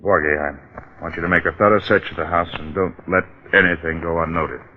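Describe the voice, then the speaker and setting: An actor playing a polite, persistent police detective in 1940s radio drama, probing and courteous. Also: male voice, sits low, steady tone, a little fast, a little hiss or hum, natural voice. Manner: probing, courteous, hesitant phrasing. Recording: muffled, narrow-band old radio recording.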